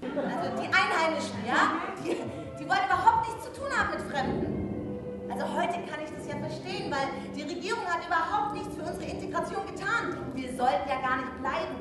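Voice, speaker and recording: A woman's voice: extremely high pitch, animated, fairly quick, loud music behind the voice, a loud crowd. An actress playing an eager, outspoken young Turkish woman guest worker in a theatre play. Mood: indignant. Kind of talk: theatrical stage acting. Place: theatre stage with audience. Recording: echoey theatre stage, clearly noisy.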